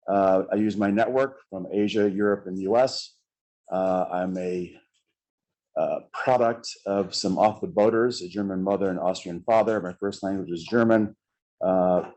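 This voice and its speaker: A middle-aged man introducing himself as a panelist at a conference table, measured and filled with "uh" pauses. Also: sits low, a little mellow, rough recording. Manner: measured, many "uh" fillers, gestures with hands.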